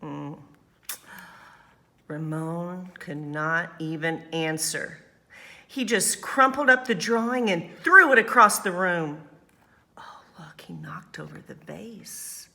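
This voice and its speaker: Female storytime teacher, slightly low pitch, very measured pace, expressive child-directed read-aloud. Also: creaky. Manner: expressive, simple child-directed explanations and questions.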